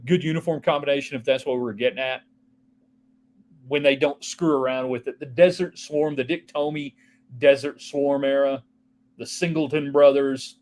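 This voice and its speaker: A man's voice: mid-range voice, darkish tone. A man hosting a casual solo sports talk show, rambling from topic to topic and answering viewer texts. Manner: rambling, offhand, jumps between topics.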